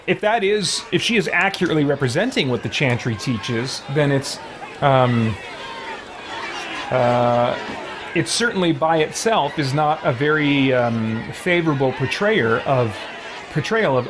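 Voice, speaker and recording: A man giving halting, thoughtful, explanatory commentary as he streams a game. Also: fairly high pitch, lively intonation, a crowd in the background, background noise. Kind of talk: reflective live stream commentary.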